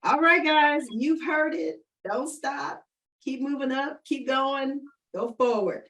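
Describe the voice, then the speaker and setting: A woman's voice: high-pitched, slow pace, a bit bright, distant mic. A woman hosting an online radio show, chatting with guests and listeners in an encouraging, affirming way and often repeating phrases. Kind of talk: warm-up chat interview, addressing listeners directly.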